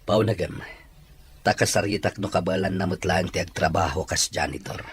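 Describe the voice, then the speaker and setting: A man's voice: low voice, steady tone, normal speed, lightly acted. Radio drama role: male actor as ailing, authoritative boss aching for his child; faint noise behind. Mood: businesslike, commanding.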